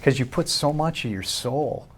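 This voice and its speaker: A man's voice: mid-range voice, animated, moderate pace, a little hiss or hum. A middle-aged man hosting a local talk show, asking friendly questions with many stammered restarts. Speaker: host. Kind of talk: casual interview chat.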